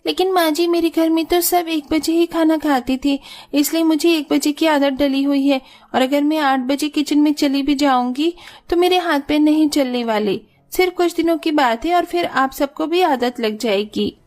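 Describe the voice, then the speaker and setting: A woman's voice: high-pitched, moderate pace, darkish tone, close to an everyday voice. A woman voicing a breezy, easygoing young daughter-in-law who casually makes excuses in a moral story. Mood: self-excusing. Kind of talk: animated moral story dialogue.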